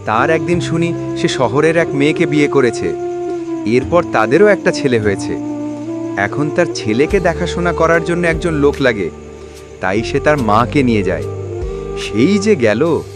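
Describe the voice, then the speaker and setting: A man's voice: fairly high pitch, animated, moderate pace, close to an everyday voice. A man voicing a lonely, plaintive old village man in a cartoon, reminiscing about his life. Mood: sorrowful. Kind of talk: cartoon dialogue. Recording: loud music under the voice.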